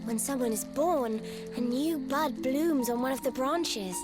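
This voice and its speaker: A woman's voice: high-pitched, a little slow. An actress voicing a blunt, confident little girl mage in a video game. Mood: explaining. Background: faint game music.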